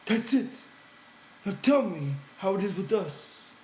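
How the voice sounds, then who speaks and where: A man's voice: sits high, sing-song, takes it slowly, darkish tone, echoey room, a little hiss or hum, pitched above the performer's own voice, full character voice. A man voicing a big, childlike, simple-minded ranch hand who pleads and whines in an audiobook. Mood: delighted, excited. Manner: whiny, pleading, childlike, ungrammatical.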